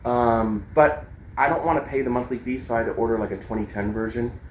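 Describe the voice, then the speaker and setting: A man with an average-pitched voice rambles casually to camera like a home vlogger. His talk is slangy and loose, peppered with swearing. Faint noise sits behind him in a homemade recording. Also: fairly quick, low-quality recording. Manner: informal, swearing, slangy, talking as they demonstrate gear. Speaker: vlogger and amateur songwriter.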